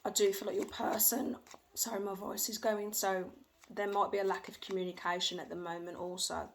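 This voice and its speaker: A woman doing a tarot reading from home speaks with a gravelly, fry-edged voice. Her pitch barely moves, so she sounds level and even throughout. She hedges and repeats herself, going by feeling, while faint noise from her children sits behind her. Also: brisk. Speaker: tarot reader. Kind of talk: tarot card reading for viewers. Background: faint noise of her young children.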